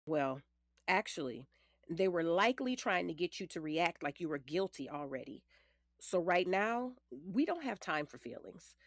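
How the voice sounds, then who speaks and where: A woman's voice: lowish voice, big pitch swings, moderate pace, dry recording. A woman playing a confident, matter-of-fact lawyer in her late forties in an audio drama, firm, precise and commanding. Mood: firm, businesslike.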